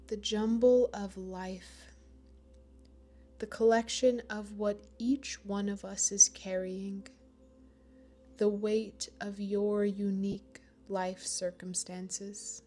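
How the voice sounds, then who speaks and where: A woman leading a guided meditation in a gentle, soothing manner, with pauses between phrases. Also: medium pitch, level tone, faint music behind. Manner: slow, gentle, soothing delivery with long pauses.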